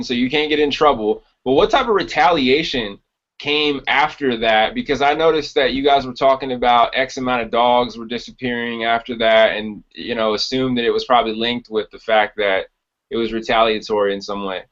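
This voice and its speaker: A man's voice: mid-range voice, fairly quick, a bit bright. A man hosting a casual podcast interview, talking in a blunt, informal way. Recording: dull lo-fi podcast recording.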